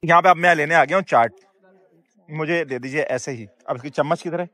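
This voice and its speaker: Excited Pakistani man touring a buffet. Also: a little high, fairly quick. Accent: Pakistani Urdu. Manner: excited, exclamatory, chatty with staff. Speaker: food vlogger. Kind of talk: casual food vlog chat. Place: outdoor restaurant at dusk.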